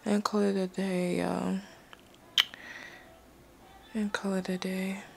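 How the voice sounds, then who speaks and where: A woman's voice: medium pitch, level tone, slow, creaky, a little hiss or hum. A young woman chatting casually and informally to camera in a slangy vlog style. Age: young adult. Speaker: vlogger.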